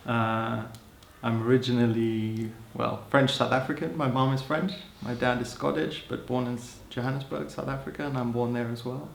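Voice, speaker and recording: A man in his thirties who grew up in Hong Kong, speaking as an interviewee in a thoughtful, musing way, often adding "you know" and soft laughs. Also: mid-range voice, flat delivery, moderate pace, vocal fry, slight background noise. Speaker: interviewee, social anthropologist. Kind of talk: reflective personal account. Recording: roomy echo.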